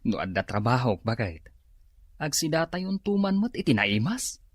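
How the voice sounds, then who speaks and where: Easygoing broke husband, very animated pitch, dry sound, folksy, Ilocano accent, radio drama. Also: male voice, moderate pace, husky, no room sound. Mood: casual. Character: easygoing hard-up husband looking for work.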